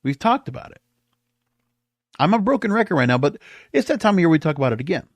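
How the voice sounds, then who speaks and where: A man's voice: on the high side, very animated, fast, dry recording. A man hosting a solo podcast, giving opinionated commentary in an emphatic, rambling way and often repeating himself. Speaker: podcast host.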